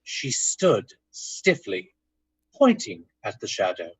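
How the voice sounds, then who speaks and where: A man's voice: on the low side, wildly swooping pitch, slow pace, slightly rough. A man giving dramatic scripted narration in the style of an old-time radio drama.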